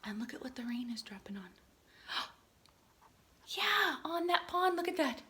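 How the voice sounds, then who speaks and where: A woman reading a picture book aloud to young children in an expressive way, adding chatty explanations and questions for her listeners. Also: high-pitched, a little slow. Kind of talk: animated read-aloud with chatty asides and questions.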